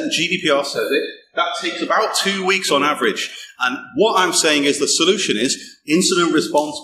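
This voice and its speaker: A middle-aged man giving a conversational technical talk at a conference, often restarting and repeating words as he goes. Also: fairly high pitch. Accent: non-local British accent. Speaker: conference speaker. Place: small darkened event room, indoors. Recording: echoey room, faint background hum.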